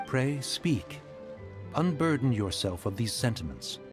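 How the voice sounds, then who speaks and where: A man's voice: medium pitch, very animated, unhurried, over music. A man voicing a courteous, grave ancient immortal in a video game, with formal, archaic speech. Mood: concerned. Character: courteous, grave ancient adeptus consoling a friend. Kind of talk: video game character dialogue.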